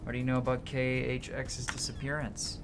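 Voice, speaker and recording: A man's voice: mid-range voice, flat delivery, fairly quick. Man reading game dialogue over music. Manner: casual, stumbles and rereads as he goes. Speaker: game streamer. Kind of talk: reading game dialogue aloud with side comments. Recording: music behind the voice.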